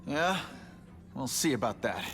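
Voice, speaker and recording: An actor playing a cocky, wisecracking young superhero in a video game, with a sarcastic, youthful delivery. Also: male voice, mid-range voice, big pitch swings, measured pace, music in the background. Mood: challenging.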